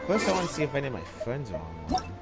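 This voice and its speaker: A man's voice: mid-range voice, sing-song. Congested man narrating gameplay. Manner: rambling, halting, with fillers. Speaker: gaming channel host. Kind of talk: casual let's play commentary. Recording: game music loud behind.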